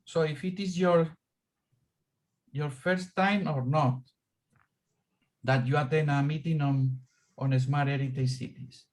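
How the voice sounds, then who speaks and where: A man moderating an online meeting over a video call, halting and repeating words as he calls on participants. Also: fairly high pitch, steady tone, darkish tone.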